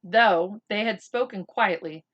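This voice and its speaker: A woman reading a children's book aloud as an expressive narrator. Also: medium pitch, measured pace, muffled recording.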